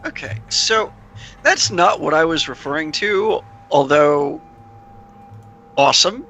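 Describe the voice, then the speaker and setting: Bright, forceful, slow-talking man. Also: fairly high pitch, lively intonation, a little hiss or hum. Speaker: player in a tabletop game. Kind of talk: casual in-game chat.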